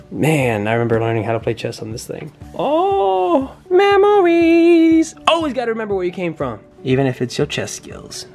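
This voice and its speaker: A man's voice: high voice, huge pitch swings, normal speed, vocal fry, a little mellow, soft background music. A young man in his twenties, playful and teasing, laughing often as he vlogs with a handheld camera in a car and at home. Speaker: vlogger. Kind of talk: casual family vlog. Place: inside a car, then a family home. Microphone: handheld camera at arm's length.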